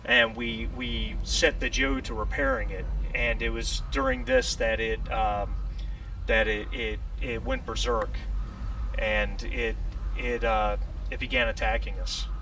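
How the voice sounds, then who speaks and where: A man playing a shaken ship survivor in a tabletop sci-fi game, halting and stammering as he recounts an attack. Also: medium pitch, huge pitch swings, vocal fry, sharp tone, full character voice. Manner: halting, stammering, repeats himself. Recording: noisy room, distant mic.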